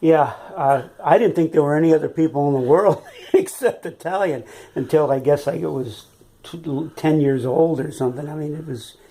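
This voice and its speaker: A man in his eighties from San Francisco, a retired biology teacher recalling his life in an unhurried, rambling interview, with many "uh"s and "you know"s. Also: fairly high pitch, mellow. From San Francisco, California. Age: 80s. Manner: rambling, with frequent "uh" and "you know", chuckles as he remembers. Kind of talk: unhurried oral history reminiscence.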